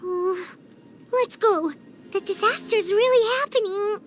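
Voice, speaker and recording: A woman voicing a small, childlike female fairy companion in a video game, squeaky, earnest and emphatic. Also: slow pace, soft background music. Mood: determined.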